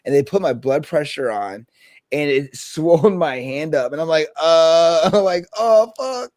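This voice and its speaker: Male, 30s, casual podcast host, animated, swears, laughs a lot, higher voice, dry. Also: a little slow, no echo. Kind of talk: casual chat.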